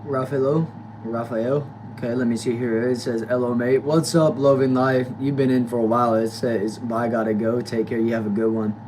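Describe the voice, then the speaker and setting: A man's voice: mid-range voice, level tone, normal speed, darkish tone, slight background noise, poor audio. A nineteen-year-old man hosting a casual livestream, reading viewers' comments aloud and answering them loosely. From United States. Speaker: livestream host reading chat.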